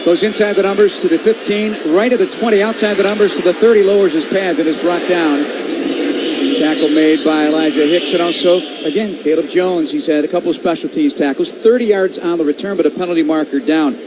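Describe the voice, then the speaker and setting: An American man calling a football game live on radio in an excitable, punchy manner. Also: high voice, brisk. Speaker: play-by-play radio announcer. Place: stadium broadcast booth. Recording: loud stadium noise behind. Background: stadium ambience.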